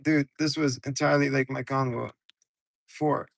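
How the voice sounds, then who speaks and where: A man's voice: medium pitch, fairly even intonation, normal speed, vocal fry. A man from Ukraine hosting a casual, rambling livestream from his car on his phone, talking loose and slangy straight to his viewers. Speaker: livestream host.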